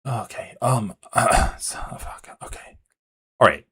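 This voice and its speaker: A man's voice: mid-range voice, lively intonation, slow pace, sharp tone, husky. A man hosting a political livestream, talking in a profane, sarcastic, casual way. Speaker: livestream host.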